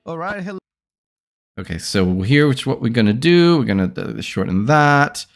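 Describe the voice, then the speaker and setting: A man talking to himself as he edits his podcast, muttering in a casual, halting way. His voice sits at an ordinary middle pitch, and his sentences often trail off into quiet asides. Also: sing-song. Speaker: podcast host editing his episode. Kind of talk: casual thinking aloud while editing.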